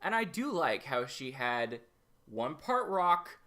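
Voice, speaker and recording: A man delivers episode commentary as the host of a review show. His voice is bright and quite animated in pitch, yet he takes his time, laying out opinions slowly and deliberately.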